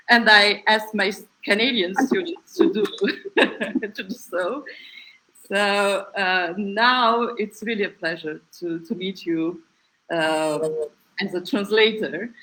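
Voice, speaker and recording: A woman with a Romanian accent speaks slowly in English, pausing often and restarting her sentences. Her tone is bright and sharp. She sounds like a native Romanian speaker taking her turn on a discussion panel. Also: mid-range voice, poor audio. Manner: hesitant, many fillers and restarts.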